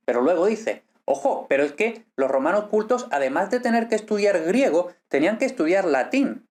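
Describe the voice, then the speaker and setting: Emphatic man lecturing. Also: a little high. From Spain. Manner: emphatic, scornful of bad arguments. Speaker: philologist and educational video host. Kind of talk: prepared explanatory video lecture.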